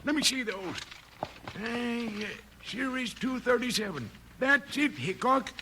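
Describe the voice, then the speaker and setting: Very high, fussy townsman. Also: lively intonation, measured pace, bright, forceful tone, heavily acted. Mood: agitated. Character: fussy, flustered, easily annoyed townsman. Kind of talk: 1950s radio western acting. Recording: noisy vintage 1950s radio recording.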